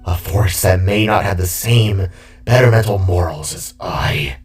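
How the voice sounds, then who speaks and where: Man acting a bitter, mocking villain king, drawn-out delivery. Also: low-pitched, distant mic. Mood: bitter, taunting. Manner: groggy, drawn-out, rambling, hissing monologue. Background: music.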